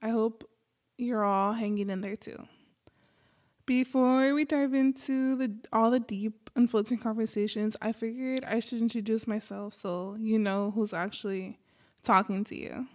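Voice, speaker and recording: American girl doing a solo podcast, kinda high voice, chatty and easygoing. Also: fairly even intonation, measured pace, darkish tone. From Los Angeles, United States. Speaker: podcast host.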